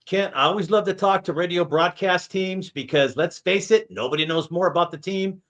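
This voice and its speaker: Bright-voiced American sports host, a man. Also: sits high, normal speed. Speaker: sports show host and interviewer. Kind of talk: conversational sports interview.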